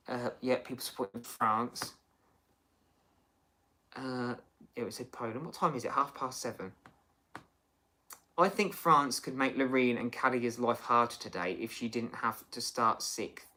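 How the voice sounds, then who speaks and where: A man's voice: mid-range voice, measured pace, pauses often, sharp tone. A man hosting a casual livestream chat, reading out viewer comments in a rambling, chatty way. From United Kingdom. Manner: chatty, rambling, many fillers and restarts. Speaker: livestream host.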